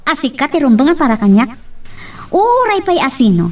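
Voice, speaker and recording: An actress as a young woman in love, defiant and emotional, with a very high voice. She insists and pleads in the manner of a radio love drama, recorded at some distance from the mic. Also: very animated, moderate pace, darkish tone. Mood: firm.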